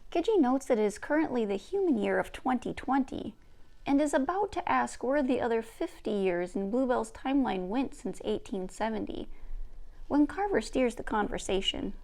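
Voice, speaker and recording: A woman's voice: fairly high pitch, lively intonation, normal speed, creaky, darkish tone. A woman reading a children's book aloud as its author and narrator. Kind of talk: scripted story narration, read-aloud.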